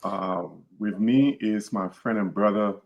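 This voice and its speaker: A man's voice: lowish voice, measured pace, darkish tone, muffled recording. Earnest Liberian man hosting a podcast. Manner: earnest, repeats words and restarts phrases. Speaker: podcast host. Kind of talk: casual podcast talk.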